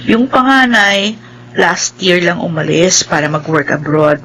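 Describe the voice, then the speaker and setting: A woman's voice: fairly low pitch, expressive, a little slow, a bit bright, full character voice, rough recording. A woman acting a kind, attentive assistant, wife and mother in a radio drama, speaking politely and conversationally. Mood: sympathetic.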